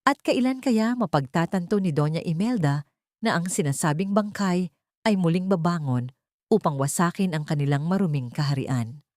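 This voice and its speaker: A woman with a low voice reads a dramatic story aloud. Her delivery is solemn and melodramatic, captured close and dry with no room sound. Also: lively intonation, normal speed, darkish tone, dry recording. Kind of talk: dramatic scripted audio-story narration.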